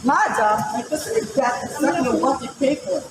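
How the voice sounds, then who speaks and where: A woman's voice: high-pitched, expressive, moderate pace, over music. A woman voicing an indignant, honest male baker in a folk tale, speaking firmly. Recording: echoey room.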